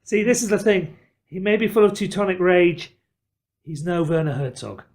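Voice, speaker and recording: A man hosting a podcast, talking in a wordy, measured manner with wry turns of phrase. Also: high voice, animated. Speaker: podcast host. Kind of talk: discursive podcast commentary.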